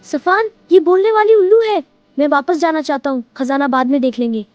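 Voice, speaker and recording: An excitable young boy , played by a woman, speaks in a high, earnest voice. He is a cartoon adventurer who exclaims and frightens easily. The sound is slightly dull, with music behind him. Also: a little fast, natural voice. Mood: amazed, nervous. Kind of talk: children's moral cartoon story acting.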